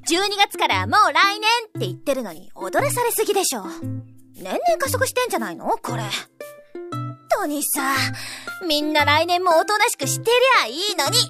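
A young boyish rabbit in a radio play, high and very animated. The character is a cheeky slacker who whines and grumbles about work. Music plays underneath. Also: female voice, moderate pace. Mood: exasperated. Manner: whiny, cheeky, informal. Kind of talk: Japanese audio drama acting. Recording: music bed behind the voice.

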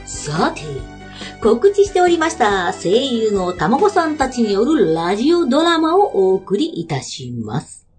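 A woman's voice: on the high side, very animated, a little slow, darkish tone, soft background music. A woman presenting a web radio show with scripted, polite and playful greetings and announcements.

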